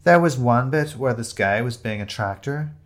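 A man's voice: medium pitch, animated, moderate pace, natural voice. A man voicing a chatty, bossy young woman who gushes in breezy, emphatic run-on chatter for an audio storybook. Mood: breezy, enthusiastic. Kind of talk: audio storybook character dialogue.

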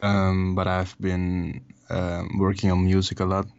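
A man in his twenties from the Netherlands with a Dutch accent, speaking English as a second language in a relaxed, halting way full of fillers. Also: low-pitched, vocal fry, no echo, highs cut off. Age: 20s. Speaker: musician guest. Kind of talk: casual interview over a call. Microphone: call audio.

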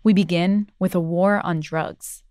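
A woman's voice: lowish voice, steady tone, measured pace, music in the background. Woman narrating a history explainer. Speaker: video host and narrator.

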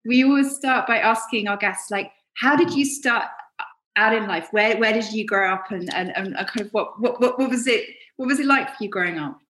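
A woman's voice: on the high side, moderate pace, a bit bright. A woman hosting a conversational interview podcast, effusive and often stammering over repeated words. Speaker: podcast host. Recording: roomy echo, faint music.

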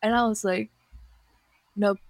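A young woman from Nepal talks casually on a podcast, with a mid-range voice. She is relaxed and uses lots of fillers. The recording is dry and close. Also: mid-range voice, measured pace, no room sound. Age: young adult. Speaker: podcast co-host. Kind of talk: casual chat.

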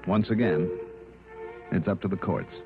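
A man's voice: sits low, unhurried, phone-quality sound. A man with an American accent playing a shrewd, confident insurance investigator in 1960s radio drama style. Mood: pressing, accusing. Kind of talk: 1960s radio drama acting and first-person narration. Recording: band-limited old radio recording with music.

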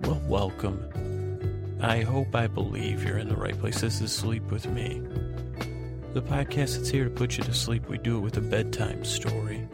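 Gravelly, creaky-voiced man rambling along. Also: fairly low pitch, fairly even intonation, over loud music. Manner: meandering, halting, repeats and rephrases himself. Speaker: podcast host. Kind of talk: rambling bedtime monologue and thank-you announcements.

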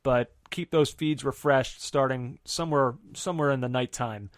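A man's voice: mid-range voice, normal speed, no echo. A man with a mid-range voice hosts a soccer podcast with easy enthusiasm and rambling asides. His voice comes through a close mic in a dry, dead room. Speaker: podcast host. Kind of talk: casual soccer podcast hosting.

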